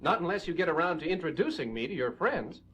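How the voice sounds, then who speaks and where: An actor playing an eager, excitable teenage sidekick in a 1970s superhero audio drama, earnest and exclaiming. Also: male voice, a little high, quick pace, breathy edge, exaggerated voice. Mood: curious.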